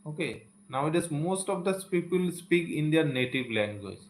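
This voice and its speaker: A man's voice: a little high, lively intonation, normal speed, slight background noise. Indian guy teaching english on a livestream, santali first language, keeps saying okay, echoey room. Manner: earnest, repeats phrases, sprinkles "okay". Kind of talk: live-stream motivational talk to learners.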